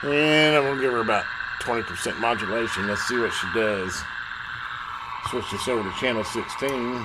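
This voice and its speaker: A man talks through bench repair work in a folksy, off-the-cuff way. His pitch sits in the middle for a man, and he thinks aloud as he goes. A loud hum and heavy noise run behind his voice. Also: moderate pace. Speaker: radio repair technician and video host. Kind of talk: casual hands-on repair demonstration.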